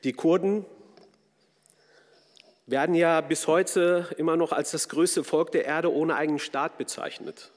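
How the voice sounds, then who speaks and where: A man's voice: on the high side, fairly even intonation, measured pace, pauses often. A man from a Kurdish background raised in Germany giving a formal, earnest talk with frequent hesitations. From Kurdish from Turkey, raised in Germany. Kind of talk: formal speech with fillers. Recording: long hall reverb.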